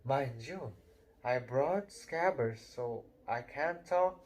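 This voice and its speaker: Man doing an excitable, blunt young wizard boy, animated, storybook. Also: mid-range voice, slow, slight background noise. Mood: chatty.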